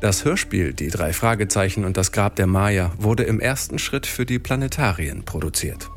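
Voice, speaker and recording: A man's voice: lowish voice, lively intonation, normal speed, faint music behind. A man presenting an audio feature in scripted narration.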